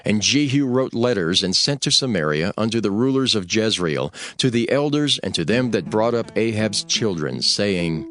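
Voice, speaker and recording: Lively-pitched male scripture narrator. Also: moderate pace, few pauses, faint music behind.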